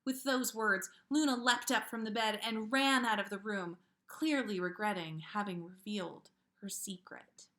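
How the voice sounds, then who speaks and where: A woman's voice: on the high side, sharp tone. A woman podcast host reading a story aloud live in an informal way, now and then stumbling and rereading phrases.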